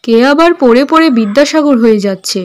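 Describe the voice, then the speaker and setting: A woman's voice: high voice, expressive, quick pace, clean tone. A woman playing a nosy, scheming village gossip in melodramatic cartoon dialogue. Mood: nosy, curious.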